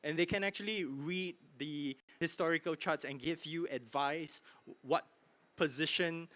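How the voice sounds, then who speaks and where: Pushy male expo keynote pitcher. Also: measured pace, a bit bright. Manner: pushy, filler-heavy, repeats "right?". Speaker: keynote speaker. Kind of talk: conference sales pitch. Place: conference hall. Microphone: handheld mic.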